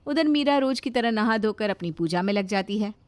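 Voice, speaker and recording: A woman's voice: medium pitch, animated, brisk. A woman reading scripted narration for a family moral story.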